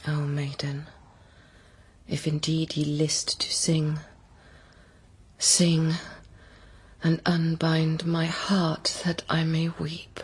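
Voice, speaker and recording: Sad stately queen on the run, low breathy voice, slow solemn old-time verse. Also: completely flat delivery, exaggerated voice. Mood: sorrowful. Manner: solemn archaic verse delivery. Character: grieving fugitive queen hiding among nuns, stately and remorseful. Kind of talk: dramatic verse audio drama.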